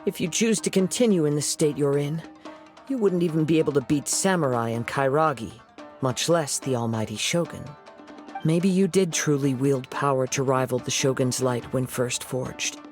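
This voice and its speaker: An actor playing a calm young male wandering samurai in a game, measured and poetic. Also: medium pitch, faint music behind, close to an everyday voice. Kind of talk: game voice acting.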